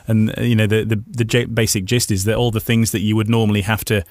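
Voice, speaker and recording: A man's voice: lowish voice, level tone, quick pace, a little mellow, no echo. Male radio host, dry close sound. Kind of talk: conversational radio interview.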